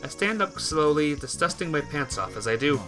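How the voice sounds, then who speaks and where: A man live-streaming a visual novel, reading the text aloud and breaking off for casual, halting asides to his viewers. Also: on the high side, lively intonation. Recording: music behind the voice.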